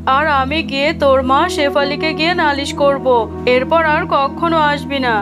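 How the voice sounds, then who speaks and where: Cartoon tigress, landlord's wife played by a woman: cruel, high voice, harsh scolding, music behind. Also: steady tone, moderate pace, close to an everyday voice. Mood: furious scolding. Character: cruel, scolding tigress, landlord's wife, bad-tempered middle-aged aunt.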